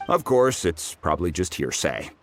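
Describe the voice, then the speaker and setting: An actor voicing a friendly, humble blacksmith in a video game, chatty and self-deprecating. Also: male voice, deep voice, big pitch swings, normal speed, faint music behind.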